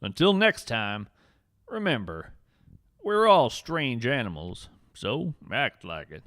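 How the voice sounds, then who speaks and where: A man's voice: medium pitch, sing-song, takes it slowly, a bit bright, full character voice. A man playing an earnest, folksy naturalist who narrates scripted fiction podcast tales in a dramatic, wryly whimsical, literary way. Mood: reverent.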